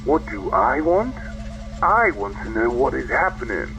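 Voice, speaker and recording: Guy doing gravelly demanding sci-fi baddie on radio, irritable, music behind. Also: mid-range voice, very animated, unhurried, muffled recording. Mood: impatient, demanding. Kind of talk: sci-fi audio drama acting.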